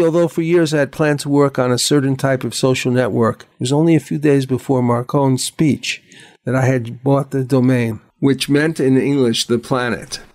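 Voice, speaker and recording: A man reads a prepared narration in an earnest, reflective way, with long, winding sentences. His pitch sits in the middle range for a man. He speaks as the founder making the case for his project. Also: creaky, a little mellow. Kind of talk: scripted narration.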